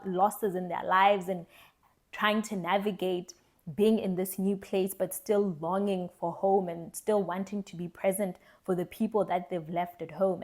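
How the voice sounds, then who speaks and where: A young woman from South Africa giving a candid, reflective vlog monologue, earnest and thoughtful, often pausing and restarting her words. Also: medium pitch, a little mellow. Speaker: vlogger.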